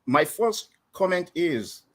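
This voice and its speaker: A man's voice: fairly high pitch, sing-song, takes it slowly, a bit bright. A man from Nigeria with a Nigerian accent, calling in to a live stream show and talking hesitantly, with many fillers and restarts. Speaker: caller.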